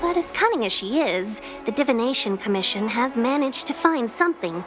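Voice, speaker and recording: A woman's voice: fairly high pitch, expressive, fairly quick, music in the background, lightly acted. A woman playing a courteous, poised young female envoy in a video game, speaking politely and formally. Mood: businesslike. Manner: polite, formal, smoothly hospitable. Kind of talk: video game character dialogue.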